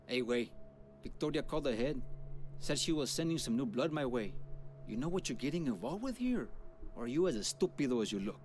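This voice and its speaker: A man's voice: medium pitch, very animated, brisk, a little mellow, faint music behind, lightly acted. An actor voicing a suspicious, menacing male gang boss in a video game, with a confrontational, streetwise, curse-heavy manner. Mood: wary, gruff.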